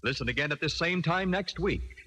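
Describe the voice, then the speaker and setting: A man's voice: fairly high pitch, lively intonation, brisk. A man announcing a 1940s radio show in a formal, theatrical style. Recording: vintage radio recording with prominent music.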